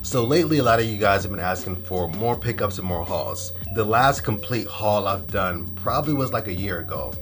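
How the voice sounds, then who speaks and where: A man's voice: on the low side, expressive, a little fast, hardly any pauses, a bit bright, soft background music. A young man with a cold and a stuffy, nasal voice, talking casually and loosely through a clothing haul with lots of slang and fillers. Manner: laid-back, slangy, rambling with fillers. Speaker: fashion vlogger.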